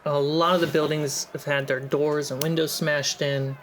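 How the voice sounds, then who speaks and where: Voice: medium pitch, flat delivery, slight background noise. A game master who narrates a tabletop game scene and calls for dice rolls. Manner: slow, laughs often between lines.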